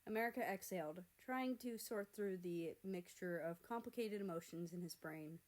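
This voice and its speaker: A woman's voice: on the low side, moderate pace. Woman narrating a story expressively. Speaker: fan fiction reader and narrator.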